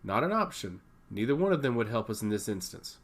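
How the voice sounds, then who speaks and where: Storybook royal advisor, a woman read by a man: skeptical, blunt, dismissive, animated delivery. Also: on the low side, fairly quick. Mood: scornful. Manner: blunt, scornful.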